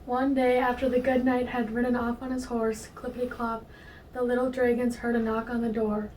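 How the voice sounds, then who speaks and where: Soft-toned, even woman reading a story. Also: fairly high pitch, moderate pace. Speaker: storybook reader. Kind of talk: read-aloud children's story narration. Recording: distant mic, faint noise.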